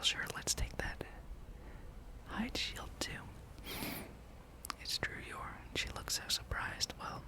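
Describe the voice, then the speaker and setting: A person speaking in a breathy, unvoiced whisper, giving rambling, playful ASMR commentary while playing a video game. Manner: hushed, playful musing, reads game dialogue aloud. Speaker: gameplay streamer.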